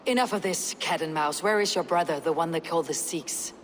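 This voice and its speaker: A woman's voice: low-pitched, a little fast, a little hiss or hum, natural voice. An actress playing a tough, blunt warrior woman who questions people in a direct, firm way for a video game. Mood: stern. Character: tough, blunt female warrior investigating a death. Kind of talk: video game character acting.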